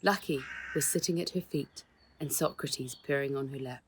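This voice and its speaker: A woman reading her own children's story aloud in a measured way, with dramatic stresses in the dialogue. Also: very deep voice. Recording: noticeable background noise.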